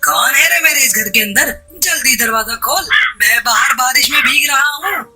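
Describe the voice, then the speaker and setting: A bossy male crow from a children's cartoon, acted by a woman. The voice is raspy and very sharp, pitched high, with a brusque, commanding manner. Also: expressive, moderate pace, full character voice. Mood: angry, bossy. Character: gruff male crow, bossy house owner with a soft heart. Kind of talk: Hindi children's cartoon voice acting.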